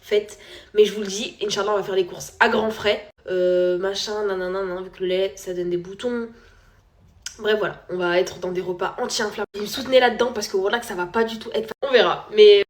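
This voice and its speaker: A young woman, a new mother, chatting candidly to her followers with lots of fillers, sometimes dropping her voice low. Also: steady tone, fairly quick. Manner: chatty and candid, many fillers, drops to a whisper at times. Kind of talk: casual chat to followers.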